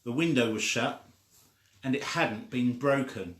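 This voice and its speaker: An older man reading a children's story aloud informally, with a halting, deliberate delivery. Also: mid-range voice, a bit bright. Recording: echoey room.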